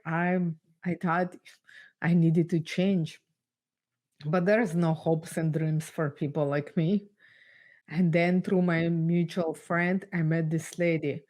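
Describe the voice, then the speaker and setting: Slow-spoken woman with a Russian accent. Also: low-pitched, a little mellow. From Ukraine. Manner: earnest, measured storytelling with pauses. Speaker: guest speaker. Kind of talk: personal testimony in an interview.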